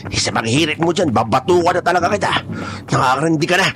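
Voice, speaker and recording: A man playing a gruff, blunt but protective older man in a horror audio drama. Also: fairly high pitch, lively intonation, fast talker, background noise, natural voice. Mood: irritated.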